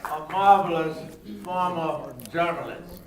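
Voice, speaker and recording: A man's voice: a little high, steady tone, takes it slowly, some chatter behind. An older man hosting a forum, making informal announcements and repeating phrases for emphasis. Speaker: forum host and reverend. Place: large echoing indoor venue, public forum. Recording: echoey room, distant microphone, faint noise.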